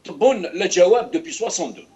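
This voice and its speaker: A man's voice: high-pitched, lively intonation, brisk, warm tone, lo-fi sound. A man from Algeria speaking as a guest in a political discussion, emotional and insistent. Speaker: guest from the diaspora, called on by the host.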